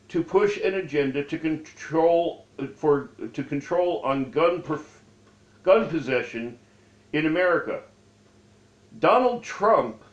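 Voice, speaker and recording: A man reading aloud from his own memoir as its author, with deliberate, halting phrasing. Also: fairly high pitch. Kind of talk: read-aloud memoir narration. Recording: dull, echoey room recording.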